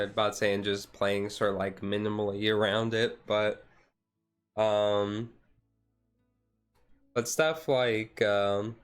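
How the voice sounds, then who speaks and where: A man's voice: on the low side, smooth. A man streaming a casual, laid-back ASMR chat in a rambling way, with music behind him.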